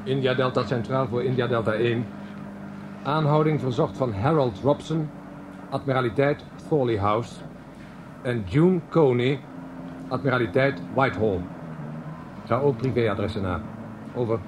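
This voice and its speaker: An actor playing a dutiful police sergeant in a radio play, speaking in an official, matter-of-fact manner. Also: male voice, mid-range voice, normal speed, long pauses, close to an everyday voice. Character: steady, dutiful male British police sergeant and driver. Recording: noisy car and vehicle ambience.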